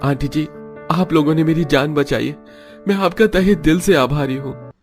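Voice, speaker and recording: Man playing a high-voiced, affectionate young husband. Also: moderate pace, a little mellow, faint music behind, lightly acted. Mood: grateful. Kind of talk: Hindi cartoon story dialogue.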